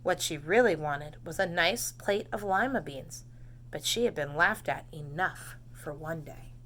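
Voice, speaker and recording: A woman teacher reading a storybook to preschoolers, expressive and dramatic, pausing for simple questions and explanations. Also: sits low, a little hiss or hum. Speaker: preschool read-aloud teacher. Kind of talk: children's storybook narration with asides and questions.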